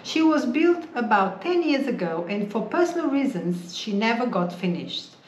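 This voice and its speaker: A woman's voice: a little high, moderate pace. Woman listing costs, echoey room. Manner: steady, lists items plainly. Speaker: boat-building vlogger. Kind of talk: casual chat to camera. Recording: echoey room with faint noise.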